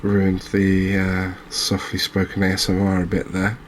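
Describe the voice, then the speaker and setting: Hushed, low-voiced English man. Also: completely flat delivery, a little hiss or hum. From England. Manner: hushed, unhurried, hesitant. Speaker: gaming video host. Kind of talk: soft-spoken ASMR game commentary.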